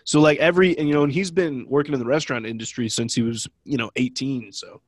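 A man's voice: medium pitch, steady tone, quick pace, no echo. A young man from Ohio with a cold that makes him sound nasal and stuffy, co-hosting a podcast in loose, rambling, joking chat. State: congested from a cold. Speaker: podcast co-host. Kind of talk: casual chat.

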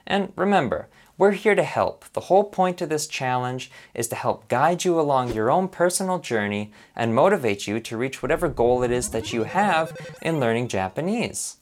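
Man, from Canada, lively swooping pitch, upbeat scripted lesson narration. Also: normal speed. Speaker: language learner presenting a lesson.

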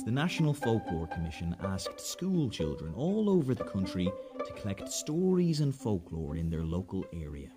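A narrator reading a scripted podcast introduction in a measured storytelling manner. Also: mid-range voice. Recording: loud music under the voice. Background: loud pizzicato instrumental music.

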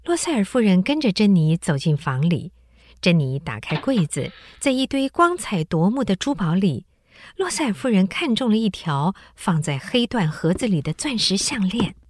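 A woman narrating a radio drama in an expressive, scripted storytelling style. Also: mid-range voice, normal speed, no echo.

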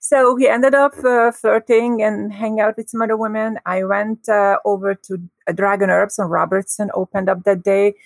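A woman from Hungary, a guest telling a personal story in a lively, expressive way. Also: mid-range voice, moderate pace, gravelly, hardly any pauses, dry recording, low-quality recording. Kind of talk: casual storytelling.

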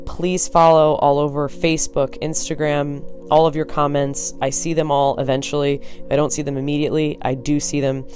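Connecticut-accented solo podcast host, tired, frank and rambling, medium pitch. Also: little pitch movement, normal speed, few pauses, darkish tone, faint music behind. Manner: blunt and frank, swears, rambling asides. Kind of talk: casual solo podcast talk.

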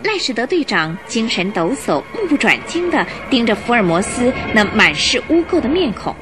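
Female radio drama narrator, suspenseful and highly animated, reading over music. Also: normal speed. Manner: suspenseful, storytelling delivery.

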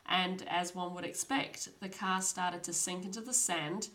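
A woman's voice: fairly low pitch, fairly even intonation, a little slow, a bit bright. Chatty young woman telling a crime story. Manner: chatty, laughs often, casual fillers. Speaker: podcast host.

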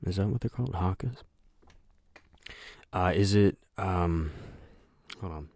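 A young man hosting a solo podcast, talking in a flat, monotone voice that rambles, with lots of hesitation and filler words. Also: low voice, takes it slowly, no echo. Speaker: podcast host. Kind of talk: casual solo podcast chat.

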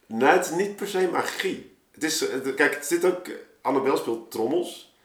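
A man's voice: a little high, animated, moderate pace, fairly bright tone. A man telling a story casually as a podcast guest, rambling along with plenty of colloquial tags. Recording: roomy, echoing podcast recording.

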